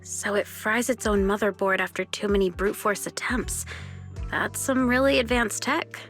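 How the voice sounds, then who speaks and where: A woman's voice: mid-range voice, steady tone, moderate pace, fairly bright tone, lightly acted. A woman voicing a confident, friendly female tech expert in a video game, casual and wry, like an old comrade. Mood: curious. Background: music.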